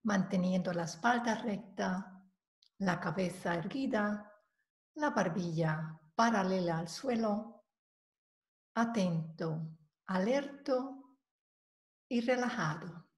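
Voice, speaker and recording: A woman leading a guided meditation, giving calm, measured instructions with long pauses between phrases. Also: mid-range voice. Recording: roomy, echoing voice recording.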